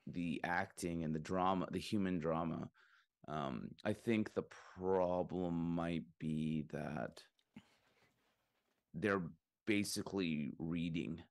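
A man's voice: low voice, darkish tone. A man co-hosting a podcast, chatting casually about films in a rambling way with many fillers and restarts. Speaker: podcast co-host.

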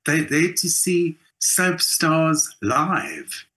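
A man's voice: a little high, sing-song, drawn-out words, sharp tone. A man with a South African accent giving an opinionated, emphatic answer in a conversational interview. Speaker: interview guest, theater actor and singer.

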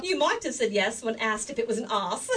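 A woman's voice: high voice, very noisy, heavily acted. An actress playing an eager, giggling young woman at a party game in a radio play.

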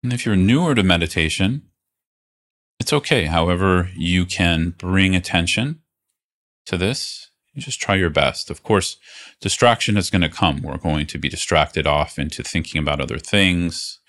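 A man leading a guided meditation as a teacher, speaking in an unhurried, reassuring way with phrases broken by pauses. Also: deep voice, a bit bright. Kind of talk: guided meditation, gently instructive.

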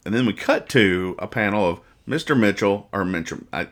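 A man hosting a podcast, giving casual comic commentary with mock-serious, exasperated deadpan nitpicking. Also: fairly low pitch, normal speed. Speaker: podcast host. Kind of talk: comedic casual commentary reading comic strips aloud.

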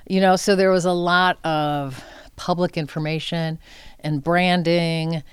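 Middle-aged female interview guest, arts leader, smooth resonant voice, close dry sound, candid and conversational. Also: on the low side, steady tone, unhurried, dry recording. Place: inside a cinema theater space.

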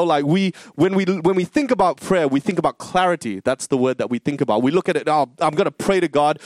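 A man preaching with a fairly high voice, telling his story with earnest animation and easy conversational fillers. The sound is dry and close, with no room around it. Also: no echo. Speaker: pastor. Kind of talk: sermon.

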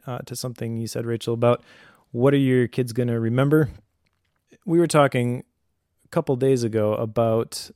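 Man, mid-pitched and mellow, measured and reflective. Conversational advice for a podcast, dry sound. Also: no echo. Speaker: podcast co-host.

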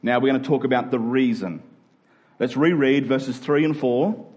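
A man preaching to a congregation in an emphatic but conversational way, stretching key words slowly and slipping into informal asides. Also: medium pitch, a little mellow. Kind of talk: sermon to a congregation.

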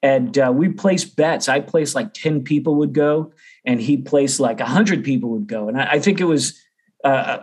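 A middle-aged man with an American accent speaks as an interview guest. His voice sits at an average pitch, and he talks in a chatty, informal way, like a teacher in relaxed conversation. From Boston area, United States.

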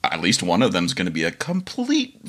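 Deep-voiced, bright-toned man hosting a podcast. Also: a little fast, slightly rough. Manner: animated storyteller, laughs often, acts out quotes. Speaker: podcast host. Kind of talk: casual interview chat.

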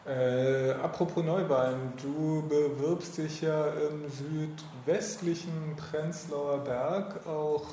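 A man interviewing someone casually, with a halting, informal way of putting things. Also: fairly high pitch, fairly even intonation, mellow. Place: echoey space, meeting over coffee. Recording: roomy echo with light ambient noise.

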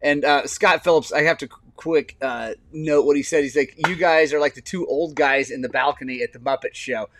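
A man in his forties, a podcast host telling stories in casual chat, animated and quick to laugh. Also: a little high, no echo. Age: 40s. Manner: animated storyteller, laughs often.

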